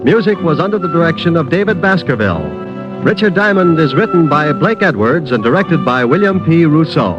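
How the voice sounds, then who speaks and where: A man's voice: on the high side, lively intonation, a little fast. Formal old-time radio announcer man. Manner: crisp, formal. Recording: dull old radio with music.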